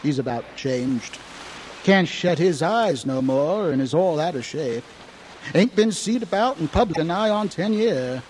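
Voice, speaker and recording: A man's voice: on the high side, lively intonation, measured pace, darkish tone, slight background noise, low-quality recording. An actor playing a frightened, half-mad old drunken townsman in an audio horror drama, cackling and rambling in folksy dialect. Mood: conspiratorial, grim. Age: older.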